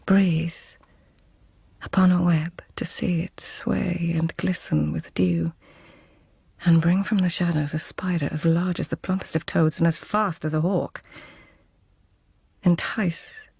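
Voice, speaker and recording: An actress playing a proud, witty lady-in-waiting of tenth-century Japan in a radio drama, hushed and measured, with formal poetic phrasing. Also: fairly low pitch, fairly even intonation, full character voice. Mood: incantatory, intense. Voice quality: breathy, hushed. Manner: measured, courtly, pointed.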